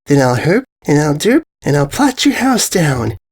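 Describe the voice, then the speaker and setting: A ditzy storybook mare played by a man, pleading in singsong rhyme. The voice is lifted above the reader's own and swings up and down in a lively way. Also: on the high side, moderate pace, breathy edge, voice pushed up, full character voice. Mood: sad, threatening. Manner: singsong rhyming calls. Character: ditzy wall-eyed grown mare pleading for mail.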